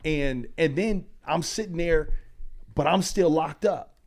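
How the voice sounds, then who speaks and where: A man in his twenties, a pastor and speaker, telling his story as a podcast guest in animated, slangy talk. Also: fairly high pitch, unhurried, gravelly. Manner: animated, slangy storytelling that climbs loud when excited.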